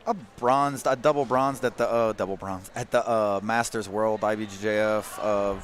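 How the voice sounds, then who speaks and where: Relaxed modest man in his thirties. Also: lowish voice, normal speed, a little hiss or hum. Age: 30s. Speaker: guest commentator. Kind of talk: casual mat-side commentary. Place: grappling tournament mat-side.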